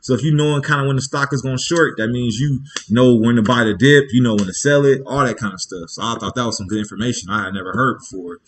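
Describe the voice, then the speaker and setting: A man's voice: medium pitch, fast, few pauses, a little hiss or hum. A man hosting a casual livestream, talking in a loose, slangy way, rambling and checking in with his listeners as he goes. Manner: loose and slangy, with frequent "you feel me" check-ins; rambling, thinking aloud with restarts. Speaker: livestream host.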